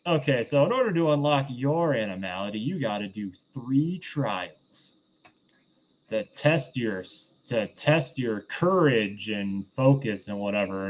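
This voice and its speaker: A man hosting a review podcast, giving a casual, unscripted recap in a rambling, halting, conversational way. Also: medium pitch. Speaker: podcast host reviewing a film. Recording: dull, lo-fi voice recording.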